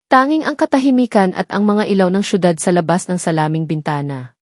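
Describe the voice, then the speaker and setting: A woman's voice: on the low side, fairly quick, darkish tone, no echo, clean studio sound. A woman with a Filipino accent reading a scripted love drama as a storyteller. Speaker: narrator.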